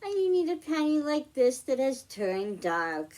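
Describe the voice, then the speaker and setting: A woman's voice: high-pitched, very animated, measured pace, a little mellow. A woman hosting a children's science storytime, explaining a hands-on demonstration step by step in simple, patient phrasing. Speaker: children's librarian, storytime host.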